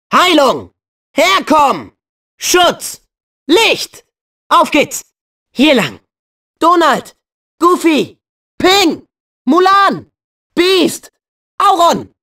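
Spirited teen boy adventurer for a video game: earnest, eager, animated, measured pace. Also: very high voice, exaggerated voice. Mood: battle-ready, shouting.

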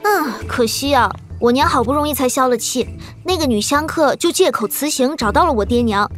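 High-pitched cheeky young girl. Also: animated, brisk, fairly bright tone, soft background music. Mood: chatty, pouty. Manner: pouty, gossipy, exclaiming. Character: cheeky, chatty, spirited young girl in wuxia tale. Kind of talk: Chinese audio drama acting.

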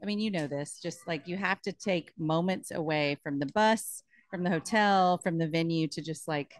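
A woman in her thirties from Texas, a touring bass player chatting casually in an interview on a beach restaurant patio, laughing often and trailing off with fillers. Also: moderate pace, no echo. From Austin, Texas. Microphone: handheld mic.

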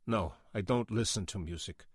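A man voicing a haunted, angry older male janitor, a camp survivor, with a thick, guttural foreign accent and a halting, trembling delivery. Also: lowish voice, breathy edge, dry recording, studio quality. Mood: frightened refusal. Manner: halting, trembling, emotionally raw.

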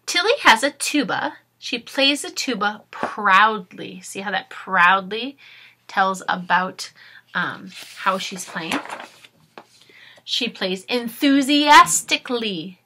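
Bright-voiced woman teaching children. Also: mid-range voice, takes it slowly. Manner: expressive, instructive, addressing children directly. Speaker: teacher reading aloud to children. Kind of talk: storybook read-aloud with grammar explanations. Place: small furnished room at home.

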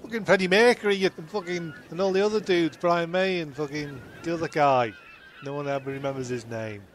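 A man's voice: on the high side, a little fast, lightly acted. A man playing an exasperated, foul-mouthed castaway in an improvised comedy audio drama, with faint background noise. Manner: sweary, incredulous.

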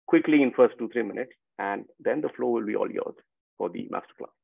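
A man's voice: fairly low pitch, brisk, creaky, breathy edge, dry recording. A man hosting an online masterclass over a video call with a headset, chatty and full of "I mean, like" fillers. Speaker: webinar host. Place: room with bookshelves, indoors. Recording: dry, tinny phone-like line.

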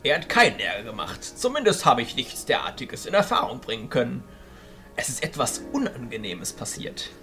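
A man's voice: wildly swooping pitch, moderate pace, vocal fry, faint music behind, heavily acted. A man voicing a commanding, bearded old wizard archchancellor in a fantasy audio drama, speaking in an emphatic, declamatory way. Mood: urgent. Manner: declamatory, emphatic, swinging between hushed and booming.

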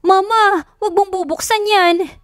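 A woman's voice: extremely high pitch, steady tone, a little slow, fairly bright tone, clean studio sound, pitched above the performer's own voice. A woman voicing a brash, feisty, easily panicked young woman in an audio romance story. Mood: loud, flustered. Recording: roomy echo, music bed behind.

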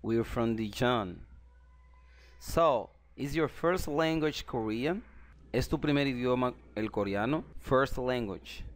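A man whose first language is Spanish, teaching an English lesson in Spanish and slowly repeating phrases in both languages. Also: mid-range voice, no room sound.

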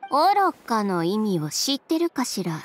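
A woman's voice: medium pitch, very animated, measured pace, slight background noise. An actress playing a poised, proud young woman in a video game, speaking with cool, haughty sarcasm. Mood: cold, menacing. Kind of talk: Japanese game voice acting.

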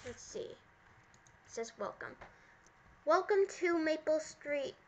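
A high-voiced female gamer chatting casually through a gameplay roleplay. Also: lively intonation, slow, a little mellow, dull audio. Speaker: gamer playing a sister character in a roleplay video.

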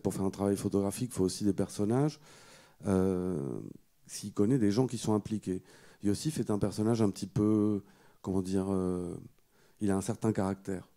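A middle-aged man with a low, very dark and soft-edged voice speaks unscripted as a panel guest. He feels his way through the story, hesitating and doubling back on words. He holds a microphone close. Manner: halting, hesitant delivery with repeated words. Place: indoor auditorium stage. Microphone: handheld microphone held close.

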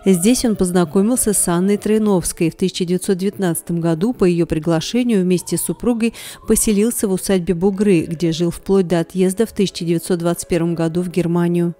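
Brief: female news reporter, mellow dark tone, formal scripted voice-over. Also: lowish voice, steady tone. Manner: measured, formal read.

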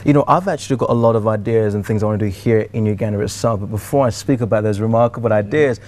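A man's voice: fairly low pitch, fast talker, a little mellow. A young man with a British accent speaking as an interview guest in an emphatic, oratorical, motivational style. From United Kingdom. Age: young adult. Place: TV studio.

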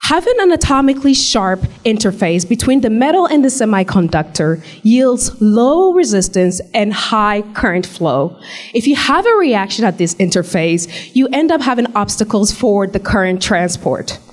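A young woman giving a measured, explanatory research pitch into a handheld mic in a large hall. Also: sing-song. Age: young adult. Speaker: graduate student pitching research. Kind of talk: memorized elevator pitch. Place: large hall with glass walls, indoors. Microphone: handheld microphone.

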